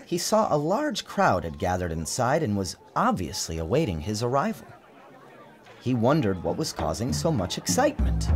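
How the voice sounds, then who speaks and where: A man giving a dramatic, expressive narration of a storybook, theatrical in his phrasing. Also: medium pitch. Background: music.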